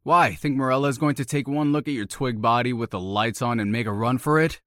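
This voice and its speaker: An actor playing a pushy, needling best buddy of about thirty in an audio drama, brash and blunt in manner. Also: male voice, medium pitch, brisk, a bit bright, no echo, clean studio sound, natural voice. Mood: scornful. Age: around thirty.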